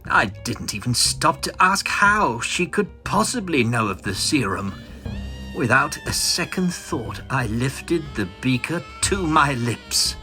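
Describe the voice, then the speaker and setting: An actor playing a small, bitter, frantic mad professor in a vintage comic audio drama, agitated, halting and melodramatic. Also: male voice, medium pitch, very animated, bright, forceful tone, soft background music, heavily acted. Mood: haunted recounting.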